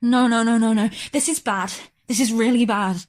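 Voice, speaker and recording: Blunt, insistent young woman played by an actress, high voice, fearful ritual believer, horror audio drama. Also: fairly even intonation, moderate pace, natural voice. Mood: panicked.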